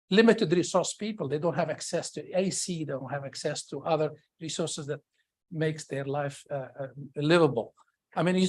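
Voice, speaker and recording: Halting seminar host, medium pitch. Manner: halting, repetitive, word-searching delivery. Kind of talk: informal seminar address.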